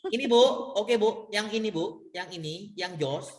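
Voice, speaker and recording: A man's voice: high voice, moderate pace. An Indonesian man tutoring a class online in a casual, informal way, reassuring and often repeating himself. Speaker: online exam-prep tutor and schoolteacher. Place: at a school, nearly alone.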